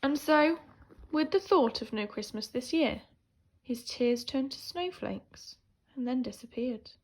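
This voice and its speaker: A woman teacher reading a children's picture book aloud in an animated, theatrical way, swinging between hushed and emphatic delivery. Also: sits high, unhurried, low-quality recording. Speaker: primary school teacher reading a story.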